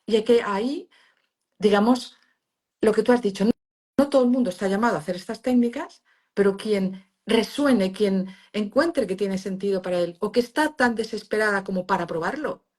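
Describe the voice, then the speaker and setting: A woman's voice: medium pitch, normal speed. A middle-aged woman with a Spanish accent from Spain, whose first language is Valencian, explaining pain therapy as an interview guest in an emphatic, teacherly way. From Valencia region, Spain. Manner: emphatic, expressive, teacherly, with many fillers and restarts.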